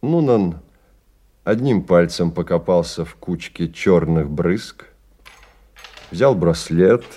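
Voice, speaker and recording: Low, mellow male story narrator. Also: expressive, slow pace. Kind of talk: scripted literary narration in a radio play.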